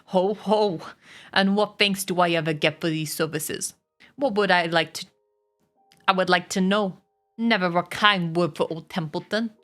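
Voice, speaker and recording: A woman's voice: fairly low pitch, unhurried, a bit bright, soft background music. A woman reading a storybook aloud and voicing a grumpy, sarcastic male rat who growls and mocks. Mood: mocking.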